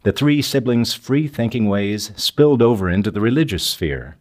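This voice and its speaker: A man's voice: fairly low pitch, animated, normal speed. A man reading scripted documentary narration. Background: music.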